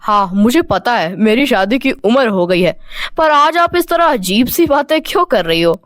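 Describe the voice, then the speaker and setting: A woman's voice: a little high, expressive, moderate pace, no room sound, clean studio sound, natural voice. A woman voicing an obedient young man, the younger son in a cartoon story. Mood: puzzled.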